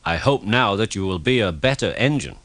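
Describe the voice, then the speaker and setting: A man playing a stern, authoritative male railway boss who speaks in a measured, admonishing way in a storybook. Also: lowish voice, lively intonation, fairly bright tone, dry recording.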